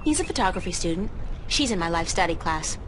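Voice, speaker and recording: A woman's voice: lowish voice, big pitch swings, fast talker. A woman playing a sardonic, guarded young art student in a video game, with a wry, sarcastic, conversational delivery. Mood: wry, musing. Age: young adult. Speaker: game protagonist. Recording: clearly noisy ambient background.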